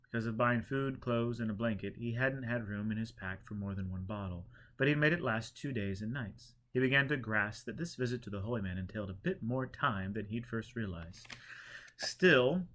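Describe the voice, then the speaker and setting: A man's voice: medium pitch, a bit bright. A man reading an audiobook, narrating a story from a script. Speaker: audiobook reader.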